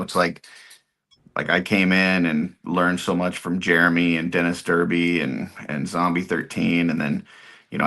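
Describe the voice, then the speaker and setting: A man chatting on a livestream, speaking haltingly with many fillers. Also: low voice, sharp tone. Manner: halting, lots of uh and you know. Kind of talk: casual chat.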